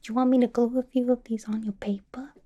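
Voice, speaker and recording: A woman voicing a playful, unhinged young villain inmate in a chummy, slangy ASMR roleplay, mostly in a breathy whisper. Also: on the high side, little pitch movement, fast talker, mellow, close to an everyday voice. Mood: playful, chatty.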